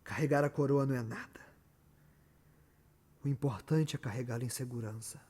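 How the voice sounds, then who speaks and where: A man's voice: medium pitch, a little mellow, husky, lightly acted. A Brazilian man playing a tormented, guilt-ridden, ambitious murderer in a solemn, declamatory Shakespearean stage monologue. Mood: quiet, brooding. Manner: declamatory, solemn, dramatic pauses. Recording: echoey hall with long reverb.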